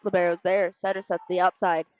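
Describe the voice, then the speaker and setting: A woman's voice: lowish voice, flat delivery, brisk, highs cut off, slight background noise. A woman co-commentating live volleyball in casual, clipped, touch-by-touch phrases.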